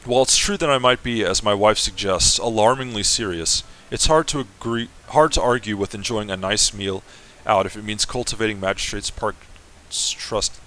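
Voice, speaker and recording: A man reading the diary of a serious, measured young nobleman husband. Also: lowish voice, sharp tone. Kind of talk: semi-dramatic reading of a game.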